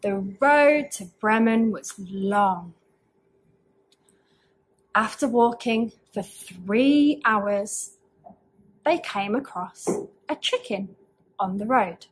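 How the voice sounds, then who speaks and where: A woman's voice: medium pitch, expressive, extremely slow, long pauses, a little hiss or hum, poor audio. A young adult woman reading a simple storybook tale aloud as a storyteller. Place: small indoor room with bookshelves.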